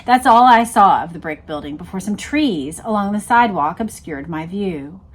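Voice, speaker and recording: A woman's voice: fairly low pitch, expressive, moderate pace. A woman reading a book aloud and breaking off to explain and point things out to the viewer. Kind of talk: read-aloud narration with explanatory commentary.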